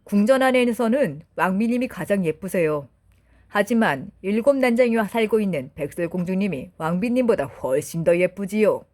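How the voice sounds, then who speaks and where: Woman as a mellow, polite magic mirror. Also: mid-range voice, lively intonation, close to an everyday voice. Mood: polite, frank. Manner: formal, deferential, measured.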